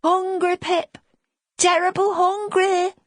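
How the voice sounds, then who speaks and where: A woman's voice: very high-pitched, level tone, slow pace, dry recording, studio quality, pitched above the performer's own voice. A woman voicing a tiny, hungry little boy in an audiobook, tearful and pleading in childish broken speech. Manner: whimpering, childish broken grammar. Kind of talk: audiobook character acting.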